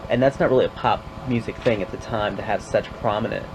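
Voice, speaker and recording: A man with a lowish voice fires off quick, halting interview questions, padding them with "um"s and "you know"s. His voice comes through a hissy, noisy interview tape. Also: fairly even intonation. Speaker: journalist interviewer.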